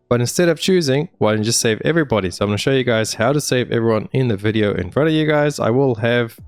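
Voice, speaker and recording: A man's voice: lowish voice, no echo. A man narrating a game walkthrough in a casual, informal, friendly way, speaking straight to viewers. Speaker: gaming video host. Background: music.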